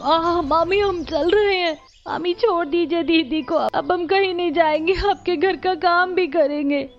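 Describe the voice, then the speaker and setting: Meek young cartoon orphan girl voiced by a woman, high pitch, plaintive, childlike, muffled sound, music underneath. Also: measured pace. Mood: frightened. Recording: muffled audio with music behind.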